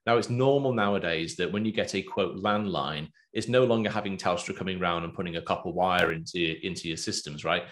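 A man with an English accent hosting a business chat, talking in a lively, loose way with plenty of fillers. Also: low-pitched, fairly quick, few pauses. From England. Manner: animated, talkative, loose with fillers. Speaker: podcast host and business coach.

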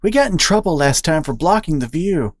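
A woman voicing a steady, matter-of-fact female otter who runs a grocery store. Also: low voice, brisk, dry recording, studio quality, voice pushed up. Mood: dry. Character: dry, steady female otter shop owner.

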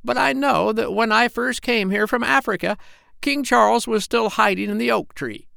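Man putting on a shrill, scornful old female parrot. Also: moderate pace, creaky, pushed and bright, no echo, clean studio sound, exaggerated voice. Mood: opinionated, musing. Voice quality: squawky, thin falsetto. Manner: haughty, indignant, chatty. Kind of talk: children's storybook character voice.

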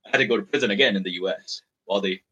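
Husky low-voiced man from Malaysia. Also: racing, bright, forceful tone. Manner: frank, rambling, repeats words while thinking. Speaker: startup founder being interviewed. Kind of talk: candid conversational storytelling.

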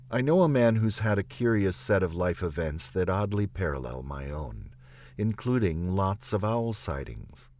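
A man's voice: low voice, a little mellow, no echo, clean studio sound. A man reading a nonfiction audiobook as a scripted narration.

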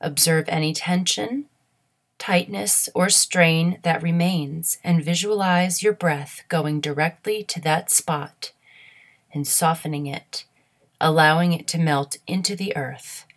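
A woman leading a guided meditation in a soothing, measured, instructional way. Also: fairly low pitch.

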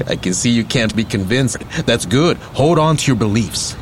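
An actor playing a streetwise, middle-aged ex-convict uncle in a radio play, rambling, candid and affectionate. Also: male voice, medium pitch, lively intonation, unhurried, slight background noise, close to an everyday voice. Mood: candid, reflective. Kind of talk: naturalistic radio play acting.

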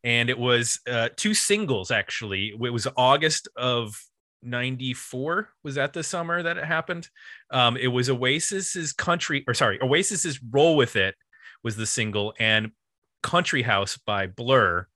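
A man hosts a music podcast with a bright, forward voice and a nasal Buffalo accent. The sound is very dry and close. He talks casually and likes to wander off into jokey asides. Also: mid-range voice, lively intonation, unhurried, no room sound. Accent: Buffalo, New York. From US East Coast. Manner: joking, chatty, digressive. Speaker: podcast host.

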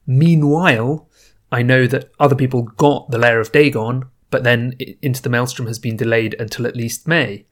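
A man's voice: mid-range voice, normal speed. Chatty man hosting a podcast. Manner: chatty, relaxed, thinking aloud with fillers. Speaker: podcast host. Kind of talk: casual solo podcast intro. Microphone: new home podcast microphone setup.

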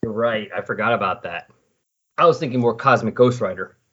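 A man's voice: on the low side, fairly quick, fairly bright tone, husky. A man hosting a casual comic-book discussion, explaining things in a conversational way. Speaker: podcast host. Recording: dull, lo-fi voice recording.

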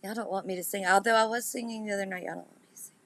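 A woman hosting a live podcast, congested and nasal, with a raspy, gritty voice, chatting casually and laughing at herself as she talks to her listeners. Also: medium pitch, steady tone, very fast, dry recording. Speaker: podcast host.

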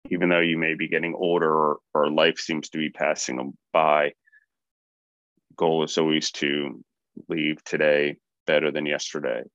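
A man's voice: very deep voice, level tone, a little slow, fairly bright tone, no room sound, dull audio. A man co-hosting a leadership podcast, talking in a motivational, loosely rambling way with fillers and restarts. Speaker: podcast co-host. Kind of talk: casual podcast talk on leadership.

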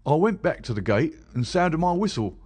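A rough constable , put on by a man reading the book, talks loud and raised in pitch, full of grievance and rustic grammar. His voice swings a lot as he tells his tale, in every line. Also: very animated, moderate pace. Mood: indignant. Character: rough working-class male London police constable, defensive. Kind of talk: audiobook character voice.

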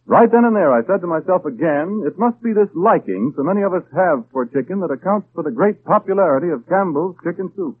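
A male radio announcer delivers a sponsor read in 1930s style. He is folksy and persuasive, with very lively intonation, heard through a muffled, narrow old broadcast. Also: sits high, a little fast, few pauses, husky. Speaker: commercial announcer.

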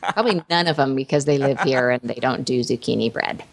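A woman's voice: rumbling low, a little fast, vocal fry. A woman voice actress chatting playfully in a casual interview, laughing often. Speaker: voice actress, guest.

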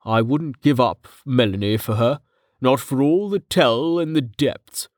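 Male narrator as a wary family man, brooding, hesitant, slow-spoken, fantasy audiobook. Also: mid-range voice, dry recording, clean studio sound, lightly acted. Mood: conflicted.

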